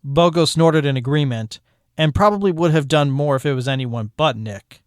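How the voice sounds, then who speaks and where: A man's voice: a little high, normal speed, smooth, dry recording. A man narrating a fanfiction story aloud with a dry, wry delivery.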